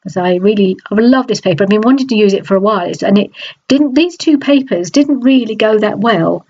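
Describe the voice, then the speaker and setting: A woman with a stuffy, nasal voice from a cold, chatting in a relaxed voice-over about a craft project, with many small restarts. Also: mid-range voice, brisk, muffled recording. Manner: chatty, hesitant, frequent restarts. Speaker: scrapbooking crafter. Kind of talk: process video voice-over.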